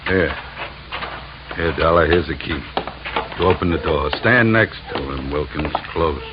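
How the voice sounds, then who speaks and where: Low-voiced menacing radio tough. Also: male voice, measured pace. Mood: uneasy, bossy. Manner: blunt, threatening, terse. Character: menacing male tough with a gun, gruffly bossy. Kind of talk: 1940s-50s radio crime acting. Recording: very noisy, muffled old radio recording.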